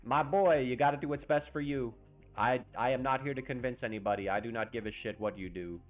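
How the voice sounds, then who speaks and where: A man's voice: medium pitch, quick pace, narrow, tinny audio, faint music behind. A young man hosting a casual livestream chat, talking in a brash, rambling, slangy way. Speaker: streamer host.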